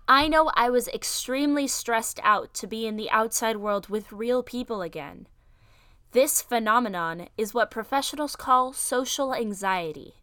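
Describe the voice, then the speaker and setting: A woman hosting a podcast, her voice a touch high and upbeat. She is encouraging toward her guest, and the sound is dry and close to the mic. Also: normal speed, bright, forceful tone, clear, resonant tone, no echo. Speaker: podcast host.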